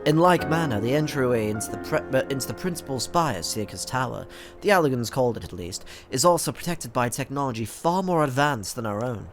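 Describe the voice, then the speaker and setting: A man's voice: mid-range voice, lively intonation, a little fast, soft background music, natural voice. A man with a stuffy nose reading the lines of a formal young male scholar from a game. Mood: earnest.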